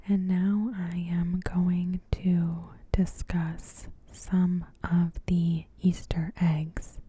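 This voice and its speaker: Woman, ASMR narrator, breathy whisper, dark soft tone, very slow deliberate pacing, faint hiss. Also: fairly low pitch. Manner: gentle, hushed, deliberate. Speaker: ASMR host. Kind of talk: ASMR scripted episode recap narration.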